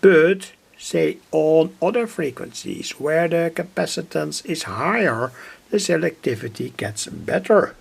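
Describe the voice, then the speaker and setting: Slow, creaky-voiced man. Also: fairly high pitch, lively intonation. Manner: hesitant, deliberate, repeats himself. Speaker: electronics hobbyist presenter. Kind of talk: informal technical demonstration, narrated live.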